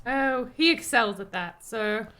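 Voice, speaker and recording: A woman's voice: a little high, lively intonation, slow, sharp tone, exaggerated voice. A woman playing an anxious, polite, fussy robot servant in an amateur table read of a film script. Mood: prim, fussy. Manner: prim, formal, fussy. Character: anxious, polite, deferential male protocol droid.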